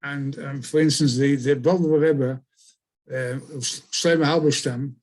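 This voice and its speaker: An older man, born in Chile and raised in England, answering interview questions in a halting way, often restarting his phrases. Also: mid-range voice, steady tone, a little mellow. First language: Spanish. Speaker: author and guest.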